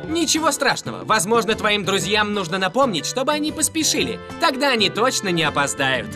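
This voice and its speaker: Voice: mid-range voice, very animated, a little fast, music in the background, natural voice. A performer playing a confident, reassuring rescue police car, the friendly team leader in a children's cartoon, upbeat in manner.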